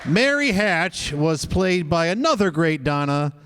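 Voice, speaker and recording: Male live announcer, higher-pitched, unhurried delivery reading credits, audience and noise behind. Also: big pitch swings, crowd noise. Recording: noisy live audience recording.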